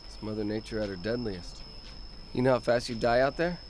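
A man's voice: medium pitch, fairly quick, noisy background, natural voice. A man playing a casual, blunt storm-bound guest in an audio drama.

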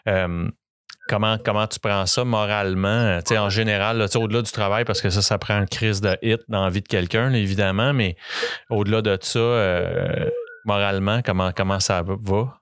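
A Quebec French man hosting a podcast in a loose, casual way, often stalling on fillers. His voice sits very low and comes through smooth and resonant. The sound is dry and close, with no room echo. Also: fairly even intonation, normal speed, a bit bright. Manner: hesitant, many fillers. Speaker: podcast host.

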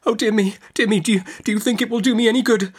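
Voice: mid-range voice, animated, moderate pace, voice pushed up, close to an everyday voice. A narrator voicing a meek young mother-to-be in an audiobook, faltering, faint and innocent. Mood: tremulous, helpless.

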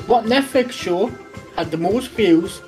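A man's voice: high voice, takes it slowly, music in the background. A man hosting a comedy quiz with playful, theatrical delivery. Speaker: quizmaster host.

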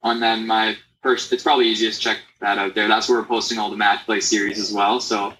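A young adult man from Canada, a guest in a casual interview, talking in a relaxed way with lots of fillers. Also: on the low side, brisk, low-quality recording. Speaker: guest, company founder.

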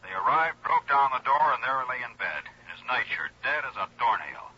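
An earnest, easily baffled American detective's colleague in a sixties radio drama. His voice is sharp and rough-edged, his delivery urgent. It comes through a muffled old broadcast. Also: medium pitch, sing-song, fairly quick, full character voice. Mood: grim, emphatic. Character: earnest male colleague of an investigator, often baffled.

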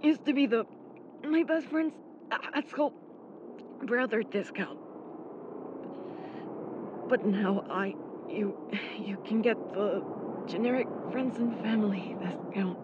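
An actress in an audio drama playing an injured young anime fan, brave and affectionate, who stammers weakly through pain. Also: female voice, fairly high pitch. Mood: shy, halting. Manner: halting, stammering, weak and pained speech. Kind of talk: naturalistic audio drama acting. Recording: muffled, loud vehicle noise. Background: vehicle driving noise.

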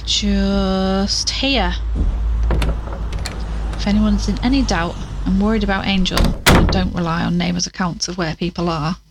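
A woman's voice: medium pitch, steady tone, measured pace, a little hiss or hum, natural voice. A woman playing a plucky, curious investigator in an audio drama, chatting to listeners with wry asides as she searches.

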